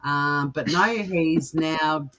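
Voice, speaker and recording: A woman's voice: low voice, drawn-out words, a bit bright, faint music behind. A woman co-hosting a comedy news show, chatting casually and reading out odd stories in a jokey, amused way.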